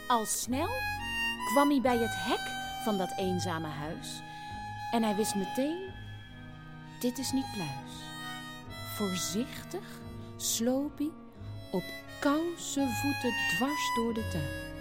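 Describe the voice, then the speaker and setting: A woman's voice: fairly high pitch, big pitch swings, over loud music. A woman narrating a family radio play as a theatrical storyteller, dramatically and phrase by phrase. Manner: dramatic, deliberate phrase-by-phrase delivery.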